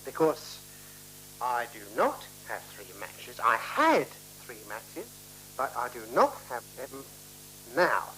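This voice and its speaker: An actor playing a timid, literal-minded man in a 1970s radio play, speaking in halting, careful, formal phrases and repeating himself. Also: medium pitch, huge pitch swings, pushed and bright, full character voice. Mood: anxious, hesitant. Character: timid, literal-minded, polite man sharing a dark lodging room. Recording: noisy, humming 1976 radio recording.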